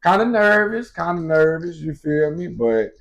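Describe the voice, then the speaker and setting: A man's voice: fairly high pitch, big pitch swings, normal speed, creaky, lo-fi sound. A man in his twenties chatting casually in a couple's Q&A video, loose and easygoing, full of fillers. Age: 20s. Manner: laid-back, frequent fillers like "you feel me". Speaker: vlogger, expecting father.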